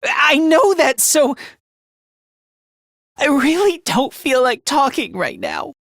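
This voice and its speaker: Meek anime game student boy, high youthful voice, slow and swingy, always putting himself down. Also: wildly swooping pitch, fairly bright tone, dry recording, clean studio sound, full character voice. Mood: dejected, remorseful. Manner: meek, apologetic, hesitant with stammers and sighs. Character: timid, self-loathing young male student turned reluctant fighter. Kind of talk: anime video game voice acting. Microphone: close studio mic.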